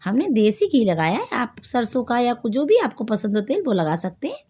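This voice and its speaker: A woman hosting a home cooking video, hoarse from a sore throat, chatting to viewers as she explains each step. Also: on the high side, very animated, normal speed, warm tone, a little hiss or hum. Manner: chatty, addresses viewers directly. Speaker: cooking channel host.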